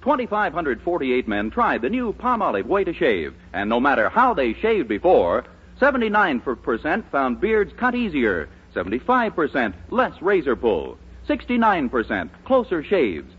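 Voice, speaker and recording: A man's voice: on the high side, wildly swooping pitch, normal speed, husky. A man announcing and narrating a 1940s radio show in a scripted, old-time broadcast style. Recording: muffled old radio recording with faint noise.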